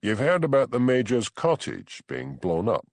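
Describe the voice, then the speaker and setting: Man playing a grumbling, stubborn Highland constable. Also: huge pitch swings, normal speed, dry recording. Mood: impatient, probing. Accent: Scottish Highland. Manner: dry, complaining, questioning.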